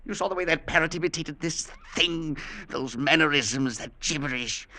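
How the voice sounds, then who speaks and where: A man's voice: a little high, normal speed, bright, forceful tone. An actor playing a haunted, proud older male professor in a radio drama, speaking with urgent intensity that edges toward panic. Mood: frantic. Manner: intense, urgent, rising to near-hysteria. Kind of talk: 1950s radio drama acting.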